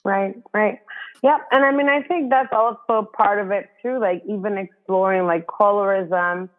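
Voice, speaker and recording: A woman's voice: medium pitch, steady tone, moderate pace. A woman from the Dominican Republic, a guest author, talking earnestly in a casual interview and thinking aloud with many fillers. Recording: tinny phone-like line.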